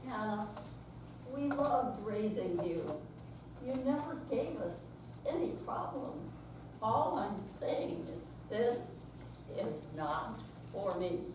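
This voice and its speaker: Echoey, slow-talking actress as a stubborn elderly mother. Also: medium pitch, sing-song. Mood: indignant, dismissive. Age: older. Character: stubborn, feisty elderly widowed mother, easily outraged. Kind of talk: staged readers theater reading. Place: senior center room, staged live reading. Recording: very echoey hall, distant mic, hissy noise.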